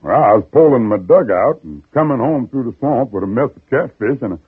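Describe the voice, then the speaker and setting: Lively, folksy Southern swamp-dweller. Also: male voice, medium pitch, brisk, breathy edge. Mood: gentle, folksy. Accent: American South. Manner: folksy, animated, deferential dialect delivery. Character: humble freed slave swamp-dweller, folksy, pious, forgiving. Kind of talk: 1950s radio drama acting. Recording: muffled old radio broadcast recording.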